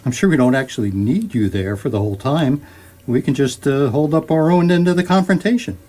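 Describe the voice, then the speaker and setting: An actor playing a polite, easily flustered foreman in a radio comedy, husky and hesitant. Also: medium pitch, animated, brisk, darkish tone, natural voice. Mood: flustered, agreeable. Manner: hesitant, stammering, deferential. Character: flustered, polite, easily talked-round male demolition foreman. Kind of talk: radio comedy drama acting.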